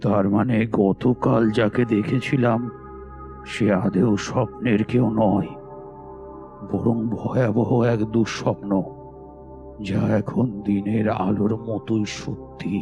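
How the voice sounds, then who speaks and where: A man acting a frightened man in a horror audio story, brooding gravely and dramatically over a nightmare come true. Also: lowish voice, steady tone, takes it slowly. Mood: dread. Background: prominent music.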